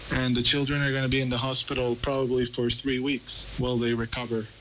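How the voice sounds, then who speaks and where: A man's voice: medium pitch, flat delivery, fast, phone-quality sound. Male correspondent, conversational and explanatory with some hesitation; shortwave radio with faint background noise. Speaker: journalist interviewed as correspondent. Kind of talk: explanatory live news interview.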